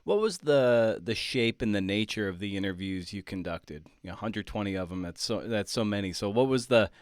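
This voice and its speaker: Casting: male interviewer, fairly low voice in a dry, close sound, conversational. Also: expressive, moderate pace, no room sound. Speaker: podcast host, interviewer.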